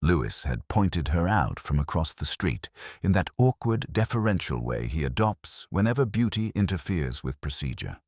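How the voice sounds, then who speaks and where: Very deep, brooding police inspector played by a man. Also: big pitch swings, darkish tone, dry recording, clean studio sound, exaggerated voice. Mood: brooding, measured. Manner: measured, ruminative, literary turns of phrase. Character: melancholy, sceptical English male police inspector narrating his case. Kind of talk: first-person scripted audio drama narration.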